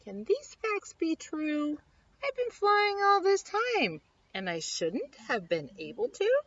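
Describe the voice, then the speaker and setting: A woman voicing an anxious, dejected little male bumblebee in a children's storybook, plaintive and exclaiming with drawn-out words. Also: very high-pitched, very animated, a bit bright, distant mic, voice pushed up, heavily acted. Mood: confused. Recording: dull lo-fi sound.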